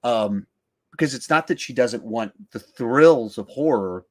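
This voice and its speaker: A man's voice: medium pitch, lively intonation, a little slow. Man chatting casually, rambling as he thinks. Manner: rambling, thinking aloud with stammers and repeated words. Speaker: podcast host. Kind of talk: casual film discussion.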